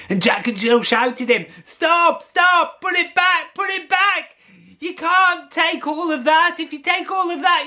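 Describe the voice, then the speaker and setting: Slow guy telling a kids story, whispers then gets loud and dramatic. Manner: dramatic, drops to whispers and rises high. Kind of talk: children's storytelling.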